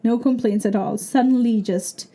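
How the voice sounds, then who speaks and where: A woman's voice: on the high side, fairly even intonation, normal speed, mellow. A woman from Bangladesh with a Bangladeshi accent, a PhD student explaining her research thoughtfully with many fillers in an interview. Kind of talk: interview answer.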